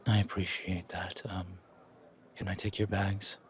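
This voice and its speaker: A man playing a nervously polite, dutiful low-ranking driver in an audio roleplay, speaking hesitantly and with formal deference. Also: level tone, measured pace. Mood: polite, reserved.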